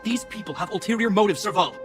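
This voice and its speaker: Guy doing a stern young army captain for a game, formal and commanding, on the higher side, music behind. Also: fast, lightly acted. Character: dutiful, stern young male guard captain. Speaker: military captain. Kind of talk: dramatic video game voice acting.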